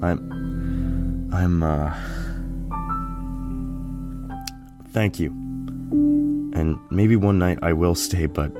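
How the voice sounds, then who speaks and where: A very deep-voiced, guarded lover. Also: male voice, takes it slowly, loud music behind the voice. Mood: hesitant, softening. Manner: cool, dismissive, restrained. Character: guarded, distant green-eyed man, brooding night-shift lover. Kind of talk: audio drama acting.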